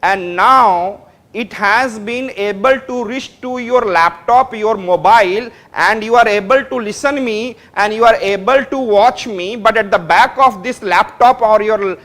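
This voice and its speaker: High-pitched Indian English man lecturing. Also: measured pace, a bit bright. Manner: repetitive, explains step by step, checks understanding. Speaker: teacher. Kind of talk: classroom lecture. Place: classroom.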